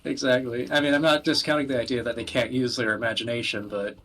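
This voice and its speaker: A man giving casual podcast commentary, explaining things in a wordy, halting way. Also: mid-range voice, fairly even intonation, fairly bright tone, slight background noise. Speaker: podcast host presenting video games. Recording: music playing behind the voice. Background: background music.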